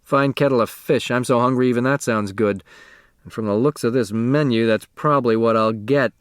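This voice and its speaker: A man's voice: medium pitch, moderate pace, clear, resonant tone, no echo, clean studio sound, natural voice. A man reading the part of a sardonic, grumbling, hungry husband in an audiobook. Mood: wry grumbling. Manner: grumbling, wry.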